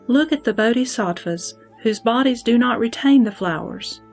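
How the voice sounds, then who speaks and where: A woman playing a calm, confident goddess who teaches formally in a scripture audio drama. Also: on the high side, normal speed, faint music behind. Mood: calm correction. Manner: formal, instructive. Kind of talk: audio drama sutra recitation.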